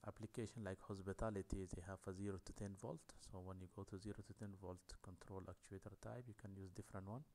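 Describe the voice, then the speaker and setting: A man giving a technical lecture over slides, talking in a halting way with many fillers. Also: sits low, flat delivery, dark tone.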